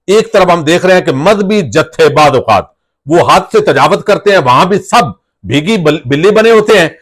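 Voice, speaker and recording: High-pitched Pakistani man commenting on news. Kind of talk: news commentary, reading out reports with his own remarks.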